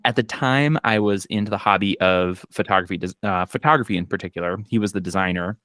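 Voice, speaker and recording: A man in his thirties from Minnesota with a Minnesota accent, an accountant and business owner telling his story in a casual chat, relaxed and full of fillers. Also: deep voice, quick pace, smooth, no echo, clean studio sound. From Minnesota, US Midwest. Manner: relaxed storytelling with frequent fillers and quoted asides. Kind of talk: casual podcast conversation. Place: home office. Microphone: video call.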